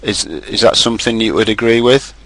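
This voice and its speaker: A man hosting a casual group call, talking loudly and enthusiastically. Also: lowish voice, brisk, slight background noise, low-quality recording. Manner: loud, enthusiastic, upbeat.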